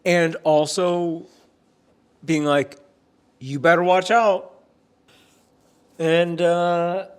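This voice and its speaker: Young man, vlogger, casual joking chat, slightly high voice, unhurried. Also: clear, resonant tone. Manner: chatty, joking, swears casually.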